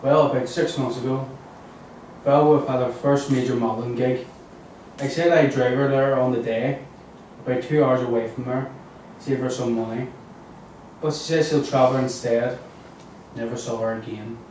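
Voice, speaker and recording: A man's voice: medium pitch, fairly even intonation, normal speed, pauses often, a little mellow, natural voice. A man playing a worried client searching for his missing partner, in a crime thriller table read. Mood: worried, defensive. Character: Paul Watts, worried well-groomed man seeking missing partner. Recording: very roomy, distant mic, faint noise.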